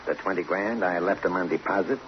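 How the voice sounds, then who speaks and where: An actor playing a smug, menacing gambler in an old-time radio crime drama, with mocking, slangy tough talk. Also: male voice, low voice, a little fast, lightly acted. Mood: smug, pushy. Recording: noisy muffled old radio drama recording.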